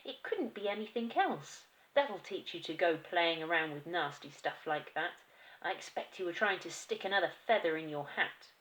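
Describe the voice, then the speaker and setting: A woman's voice: fairly low pitch, expressive, moderate pace, fairly bright tone, rough recording. Woman doing a bossy, scolding storybook mum. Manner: bossy, lecturing. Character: scolding, sharp-tongued middle-aged mother.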